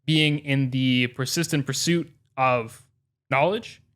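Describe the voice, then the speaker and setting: A man's voice: mid-range voice, takes it slowly, a bit bright. A man as a podcast guest in casual chat, speaking earnestly and reflectively, with the odd swear. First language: English.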